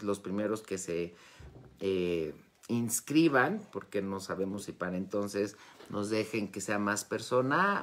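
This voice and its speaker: A woman in her fifties with a Mexican accent, chatting casually and effusively with followers in a live session. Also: rumbling low. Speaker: wellness and metaphysics teacher hosting a live Q&A. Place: indoors, home study with bookshelves. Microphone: phone or tablet at arm's length.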